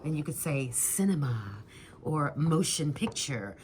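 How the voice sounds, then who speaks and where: A woman's voice: low-pitched, lively intonation, measured pace, a little mellow, a little hiss or hum. A woman teaching a lesson with questions to a student, encouraging and emphatic, drawing out key words.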